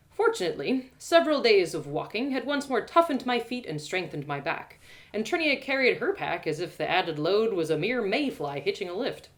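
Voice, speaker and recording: Theatrical woman storyteller, very lively intonation. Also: medium pitch. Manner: theatrical, expressive. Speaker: storyteller and host. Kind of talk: dramatic first-person fantasy narration.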